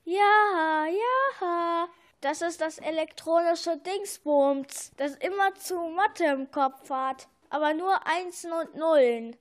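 A woman's voice: extremely high pitch, takes it slowly. A woman voicing a small, grumpy, bossy male bookworm with a whiny, indignant manner in a children's audio story.

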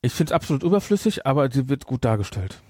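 A man's voice: medium pitch, very animated, fast talker, darkish tone, husky, no room sound. Casual male podcast co-host, close dry sound. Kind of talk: casual chat reviewing a film.

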